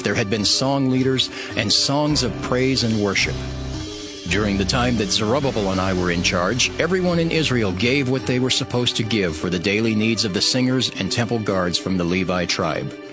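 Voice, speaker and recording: A man's voice: medium pitch, close to an everyday voice. A man playing a stern, devout ancient governor, narrating his reforms in an earnest, emphatic, solemn dramatized scripture style. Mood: solemn, measured. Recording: music bed behind the voice. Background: music.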